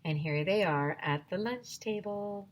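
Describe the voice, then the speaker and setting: A woman teacher reading a children's book aloud in an expressive, theatrical storytime style. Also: mid-range voice, a little slow, a little hiss or hum.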